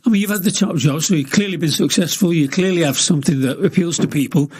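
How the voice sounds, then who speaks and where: A man's voice: fairly high pitch, fast talker, husky. A man hosting a local radio show, chatting and asking his guest questions in the studio. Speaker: radio presenter. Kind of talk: radio interview.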